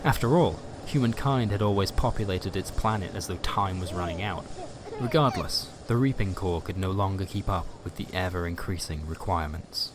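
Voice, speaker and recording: A man narrating a scripted fantasy story in a measured, dramatic way with wry asides. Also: deep voice, noisy background. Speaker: storyteller, narrator of a fiction podcast.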